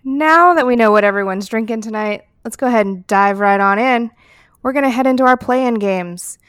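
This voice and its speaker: A woman hosting a casual podcast, moderating in a brisk, cheerful way. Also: on the high side, fairly bright tone, clear, resonant tone, no echo, clean studio sound. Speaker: host.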